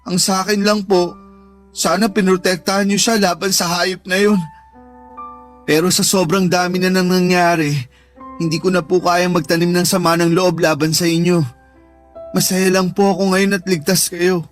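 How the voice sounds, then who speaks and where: A man's voice: high-pitched, little pitch movement, moderate pace, natural voice. A man playing a protective, forgiving older son in a radio drama, with earnest, emotional delivery. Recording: faint music bed behind the voice.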